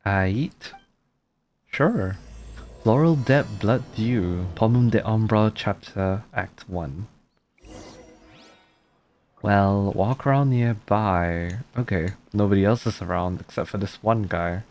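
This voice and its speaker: A woman's voice: extremely low pitch, slow pace, long pauses, darkish tone. A woman giving casual playthrough commentary as a game streamer, musing aloud and reading dialogue in an offhand way. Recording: game music behind.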